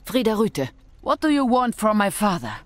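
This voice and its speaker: A woman's voice: medium pitch, big pitch swings, moderate pace, dry recording, natural voice. An actress playing a cheeky, plucky young woman who works as a mech engineer in a video game. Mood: guarded, proud. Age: young adult. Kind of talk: video game cutscene acting.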